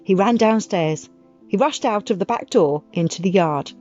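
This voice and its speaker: A woman narrating a children's audiobook as an expressive storyteller. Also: medium pitch, normal speed, highs cut off. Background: music.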